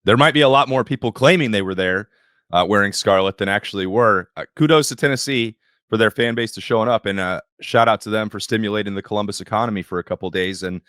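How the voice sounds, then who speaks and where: Male podcast host, slightly hoarse, wry and opinionated, dry close sound. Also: on the low side, fast, a bit bright, no room sound. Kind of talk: sports podcast talk.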